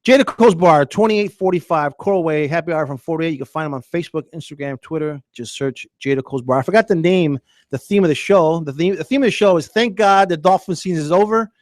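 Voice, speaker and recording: A man's voice: on the high side, fast talker, no echo, clean studio sound. A man hosting a casual sports radio show, chatting loosely with fillers and reading ads live.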